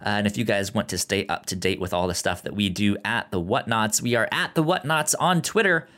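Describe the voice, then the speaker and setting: Man chatting casually, halting delivery. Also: fairly low pitch, a bit bright. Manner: halting, often repeats word beginnings. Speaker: podcast host. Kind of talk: casual podcast chat.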